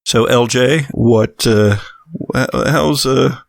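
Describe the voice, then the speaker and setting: A man working as game master, improvising narration for a tabletop horror game in halting, deliberate phrases. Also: mid-range voice, gravelly, no echo, studio quality.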